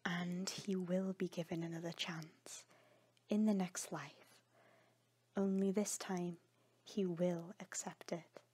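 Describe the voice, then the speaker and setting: A woman playing a gentle, earnest, forgiving peacemaker in a dramatic audio story, speaking softly. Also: fairly low pitch, steady tone, takes it slowly, husky. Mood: consoling.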